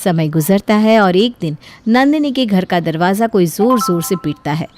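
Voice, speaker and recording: A woman's voice: mid-range voice, animated, normal speed, a little mellow, faint music behind. A woman narrator reading a scripted story.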